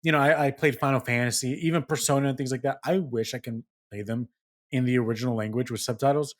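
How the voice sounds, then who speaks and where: A man hosting a casual gaming podcast, steering the talk with questions in an animated way. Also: medium pitch, fast. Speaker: podcast host.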